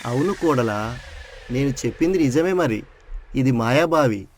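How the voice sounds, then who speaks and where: An actor playing a middle-aged village father-in-law in a moral story, calmly explaining things. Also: mid-range voice, a little slow, a little hiss or hum, close to an everyday voice.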